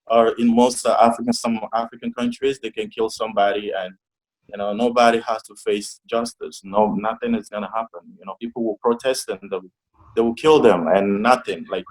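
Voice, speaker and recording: A man's voice: mid-range voice, steady tone, moderate pace, poor audio. A young man from Togo answering interview questions, with many restarts and fillers, on a video call. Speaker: interview guest.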